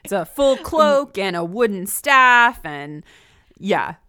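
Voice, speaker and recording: A woman's voice: medium pitch, very animated, takes it slowly, pushed and bright, no room sound, studio quality. A woman guesting on a podcast, chatting casually and with enthusiasm about books. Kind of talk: casual book discussion chat. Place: remote call.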